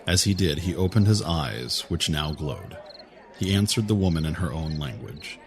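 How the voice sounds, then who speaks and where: A man narrating an audio drama in a measured, even storytelling manner. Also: a bass voice, a crowd in the background. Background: music score.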